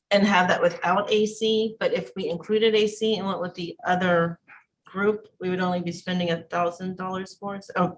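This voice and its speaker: A woman's voice: lowish voice, low-quality recording. A woman speaking as a board member, asking probing questions in a conversational way at a meeting. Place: indoor school board meeting room. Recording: meeting room with faint background noise.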